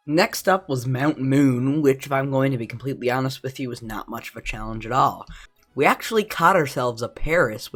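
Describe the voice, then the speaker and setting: A young man narrates his game challenge run in a middle-pitched voice. He keeps it casual and throws in self-deprecating jokes. A slight cough sits in his voice. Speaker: gaming YouTuber. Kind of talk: game challenge commentary over gameplay.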